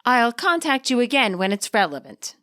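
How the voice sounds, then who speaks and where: A woman's voice: on the high side, very animated, normal speed, a bit bright. A woman voicing a secretive, impatient mystery caller in a game story, terse and disapproving. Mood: curt, impatient.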